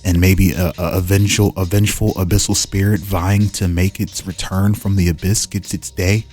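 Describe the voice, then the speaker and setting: A man's voice: low-pitched, little pitch movement, normal speed, darkish tone. A man hosting in a casual style, reading game lore aloud and breaking off into musing, informal asides. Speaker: host presenting fan-made game lore. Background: music.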